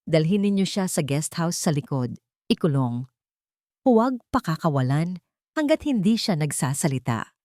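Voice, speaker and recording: A woman's voice: low-pitched, sing-song, moderate pace, dark tone, no room sound, studio quality. A woman voicing a cruel, domineering rich villainess in an audio story, commanding, menacing and accusing. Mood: cold, menacing.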